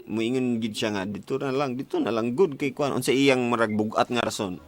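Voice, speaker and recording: A man's voice: medium pitch, brisk. A young Filipino man with a Cebuano accent hosting a casual call-in advice show on radio, bantering and teasing. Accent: Filipino, Cebuano-speaking. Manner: teasing, joking. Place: radio studio. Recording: music bed behind studio voice.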